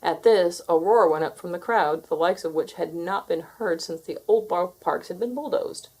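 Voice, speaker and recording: A woman reading a children's story aloud as an expressive narrator. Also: on the low side, normal speed, breathy edge, poor audio. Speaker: storybook reader.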